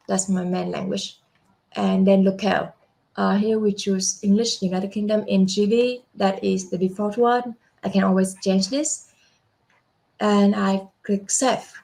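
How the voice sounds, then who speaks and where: A young adult woman walking through a software demo step by step, unhurried, with frequent fillers and self-corrections. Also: medium pitch, creaky, poor audio. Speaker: software product presenter. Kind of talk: screen-share software demo walkthrough.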